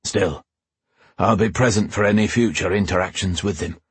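Man doing a gruff, low, husky knight. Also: moderate pace, no room sound. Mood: protective, grumbling. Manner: deadpan, dry, honourable. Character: gruff armoured male knight, loyal, dry-witted lover.

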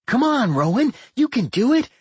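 A man voicing a manic, gleeful tentacled dungeon creature in an excitable, exclaiming way for a fantasy audiobook. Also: a little high, measured pace, breathy edge, dry recording, voice pushed up, full character voice. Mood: gleeful, excited.

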